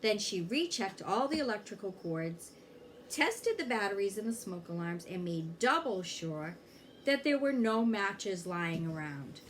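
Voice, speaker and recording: A woman reading a children's story aloud in an expressive way, pausing to check in with young listeners. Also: mid-range voice, a little hiss or hum. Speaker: fire inspector reading a children's book aloud.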